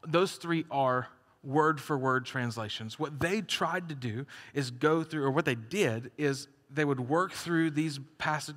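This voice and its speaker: A man's voice: on the high side, a bit bright. A man preaching a conversational sermon as a pastor, joking and laughing between points. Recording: long hall reverb.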